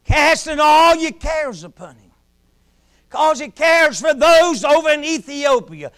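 Middle-aged male pulpit preacher, very high and bright, slow and deliberate, alternates shouting and hushed delivery. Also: expressive. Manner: preaching in rising shouts, then dropping to a hush. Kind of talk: sermon. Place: small church sanctuary, at a pulpit.

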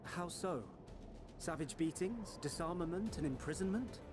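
A man's voice: high voice, lively intonation, normal speed, music in the background. A man playing a dry, sceptical fighter in a fantasy game, wry and speaking in clipped phrases.

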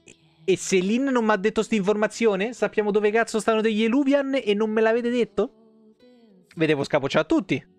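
A man in his thirties with a Roman Italian accent reads game lore aloud on a live stream, expressive and informal, dropping into casual, sweary commentary. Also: high-pitched. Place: indoor room, home streaming setup. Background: background music with guitar.

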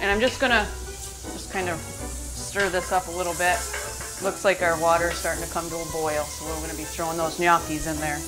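A woman's voice: lowish voice, level tone, a little fast, music in the background, low-quality recording. A woman in her thirties, the cook on a home cooking show, explaining each step plainly as she cooks in her kitchen. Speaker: cook and host. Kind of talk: cooking demonstration.

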